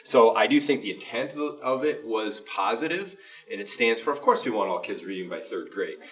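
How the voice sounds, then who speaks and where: A man's voice: on the low side, animated, moderate pace, fairly bright tone, distant mic. A man speaking as a school superintendent in an informal panel discussion, explaining emphatically in a rambling way. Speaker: school superintendent co-hosting a district show.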